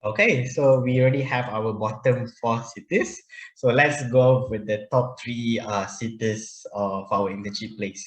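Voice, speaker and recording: A young man steers the group discussion, briskly calling on each person in turn. His mid-pitched voice rings in an echoey room. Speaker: discussion moderator.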